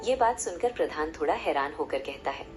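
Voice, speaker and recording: Low-pitched woman narrating over music. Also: animated, a little fast. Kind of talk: scripted storybook narration. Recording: music behind the voice. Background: loud background music.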